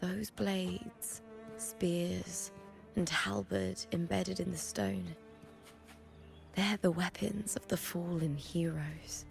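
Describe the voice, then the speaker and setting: An actress voicing a solemn, battle-hardened woman warrior in a video game, telling of the fallen in a grave, deliberate way. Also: on the low side, steady tone, creaky, exaggerated voice. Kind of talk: scripted video game voice acting. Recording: game audio with music behind.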